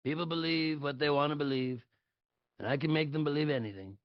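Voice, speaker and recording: A man's voice: fairly high pitch, brisk, no room sound, close to an everyday voice. A man playing a boastful, blustering older male politician in a live table read of a satirical play, blunt and fond of superlatives. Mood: self-assured. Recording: dull lo-fi audio.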